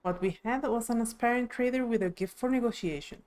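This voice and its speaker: A woman's voice: fairly high pitch, a little fast, a little mellow. A woman reading video game dialogue aloud expressively on a live stream.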